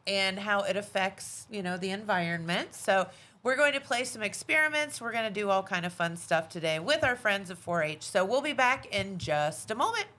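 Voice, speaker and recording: A woman's voice: mid-range voice, animated, moderate pace, sharp tone. A woman hosting a local morning TV show, chatty and playfully teasing her guests. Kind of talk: casual TV studio chat. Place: TV studio.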